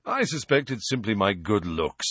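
A man's voice: on the low side, big pitch swings, normal speed, a bit bright, husky, dry recording, studio quality, heavily acted. A man voicing a vain, muddled wizard in an audiobook, breezy, childishly cheerful and pleased with himself.

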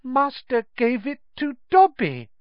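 Storybook house-elf: small, servile, excitable male creature, piercingly high and squeaky, slightly dull recording. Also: slow, no room sound, full character voice. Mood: awed. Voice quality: shrill, squeaky. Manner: speaks of himself in third person, servile, fervent.

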